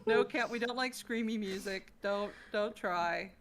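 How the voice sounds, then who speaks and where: A woman's voice: medium pitch, unhurried, noisy background. A woman chatting casually as a podcast guest, with a playful, teasing manner. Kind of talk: casual livestream chat.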